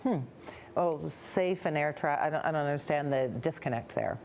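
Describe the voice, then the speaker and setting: Low-pitched Canadian woman, news host. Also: normal speed, a little mellow, slight background noise. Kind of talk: broadcast news interview.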